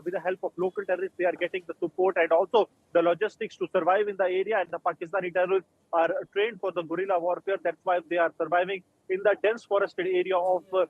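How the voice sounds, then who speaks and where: A man's voice: sits high, no room sound. A man with an Indian accent filing a live news report in run-on sentences over a narrow phone line.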